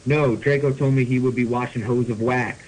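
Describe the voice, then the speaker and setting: A man's voice: mid-range voice, fairly even intonation, brisk, a little mellow, low-quality recording. A man hosting a podcast, reading fan fiction aloud comically with mock seriousness and casual asides. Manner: mock-serious delivery, often breaking into laughter. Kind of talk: comedic dramatic reading with asides.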